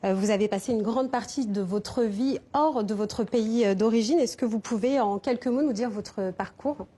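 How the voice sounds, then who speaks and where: A woman's voice: fairly high pitch, normal speed, mellow. A woman presenting a TV segment and interviewing a guest, polished in her intro but hesitant when asking questions.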